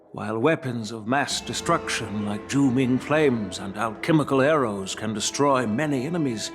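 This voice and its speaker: Man playing aged grandfather swordsmith, game cutscene, measured and grave, mid pitch, soft music under. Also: natural voice. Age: older. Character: wise elderly male master swordsmith, grandfather. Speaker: game character. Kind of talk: video game cutscene voice acting.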